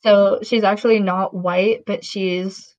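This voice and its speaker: A woman's voice: mid-range voice, fairly even intonation, slow, a little mellow. A woman in casual conversation who rambles, with many fillers and restarts. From Latin American heritage. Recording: dull lo-fi audio.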